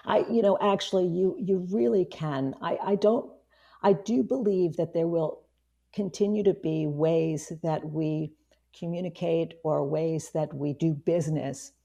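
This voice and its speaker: A woman in her fifties with a hoarse voice, a guest chatting casually in an interview, rambling with many restarts. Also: low-pitched, a little slow, dark tone, highs cut off. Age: 50s. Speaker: guest, online marketing strategist.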